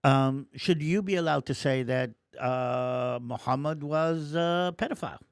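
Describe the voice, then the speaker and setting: A man co-hosting a live talk show who chimes in with quick agreements and questions and steers the topic. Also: medium pitch, clear, resonant tone, dry recording, studio quality. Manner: interjects short agreements and questions. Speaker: co-host.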